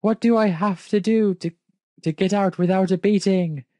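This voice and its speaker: A man voicing a rude, hot-headed male robot fast-food worker in a fan fiction reading. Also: sits high, steady tone, mellow, no room sound, clean studio sound, voice pushed up, lightly acted. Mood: desperate.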